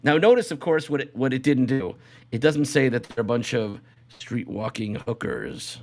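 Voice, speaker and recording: A man's voice: mid-range voice, lively intonation, moderate pace, a little mellow. Man hosting a casual comedy podcast. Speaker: show host.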